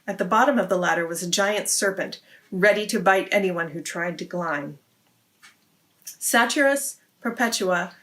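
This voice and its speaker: Lady reading a kids story out loud, medium pitched voice, hosting it. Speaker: read-aloud host. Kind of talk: children's story read-aloud.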